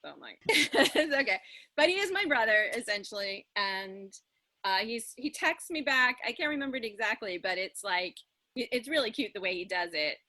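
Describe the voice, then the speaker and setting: A woman actor casually talking through ideas, telling things in an animated way. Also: on the high side, moderate pace, dry recording. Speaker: improv audio drama actor. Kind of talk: casual creative discussion.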